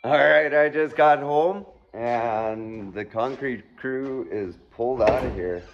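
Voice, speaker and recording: A man with a hoarse, raspy voice chatting casually in a vlog about his shop, rambling and trailing off with fillers. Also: medium pitch, takes it slowly, lo-fi sound. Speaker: vlogger. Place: garage shop, partly outdoors.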